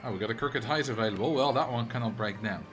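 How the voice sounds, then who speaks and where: A man's voice: medium pitch, fast talker, a bit bright, soft background music. A man with a Dutch accent, a streamer chatting casually and answering chat messages while he plays a game. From Netherlands.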